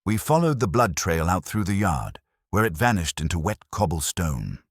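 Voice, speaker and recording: A man reading a scripted detective mystery in a measured, suspenseful storytelling manner. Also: sits low, sing-song, slightly rough, no room sound, studio quality. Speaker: audiobook narrator. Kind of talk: scripted dramatic mystery narration.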